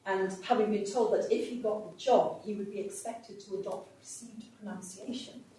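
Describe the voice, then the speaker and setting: A woman's voice: mid-range voice, steady tone, moderate pace, mellow, a little hiss or hum. A woman professor giving a history lecture, speaking with fillers and restarts as she works through interview extracts. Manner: hesitant, many fillers and restarts. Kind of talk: public lecture with interview clips. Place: lecture hall. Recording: echoey hall, voice from a distance.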